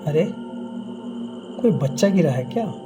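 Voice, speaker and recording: A man acting as a stranded young man in a horror audio drama, with a fairly high voice over clear music. He mutters to himself, uneasy and casual in his phrasing. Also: lively intonation, a little slow.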